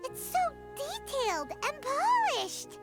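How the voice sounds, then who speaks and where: Excitable little game companion: childlike, extremely high, animated intonation, earnest, over prominent music. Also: female voice, slow, exaggerated voice. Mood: amazed. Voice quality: squeaky, childlike. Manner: wide-eyed, exclaiming. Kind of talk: anime video game voice acting. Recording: music playing under the voice.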